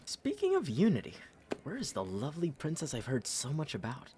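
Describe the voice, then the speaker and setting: A man's voice: on the high side, huge pitch swings, fairly quick, mellow, a little hiss or hum. A man playing a pompous, entitled young prince in a fantasy audio drama, haughty and condescending. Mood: smug. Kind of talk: fantasy audio roleplay acting.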